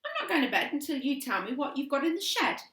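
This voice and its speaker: A woman reading a story aloud, voicing a spoiled, taunting little sister with a high, sing-song voice. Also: sing-song, fast, a bit bright. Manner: sing-song, teasing, whiny fake crying. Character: bratty spoiled little girl, gleeful and taunting. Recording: roomy sound from a distance.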